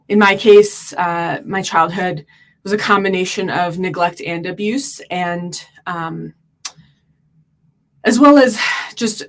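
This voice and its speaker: A woman from Turkey, a podcast guest, explaining at length in an earnest way with many fillers. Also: medium pitch. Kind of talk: explanatory interview talk.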